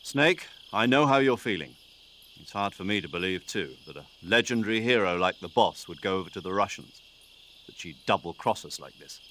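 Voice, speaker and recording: Firm, low-voiced military commander. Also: male voice, expressive, a little fast, fairly bright tone. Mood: sympathetic, firm. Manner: firm, articulate, earnest. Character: authoritative male military commander, firm but sympathetic. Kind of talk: dramatic video game radio dialogue. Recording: faint night insect noise behind.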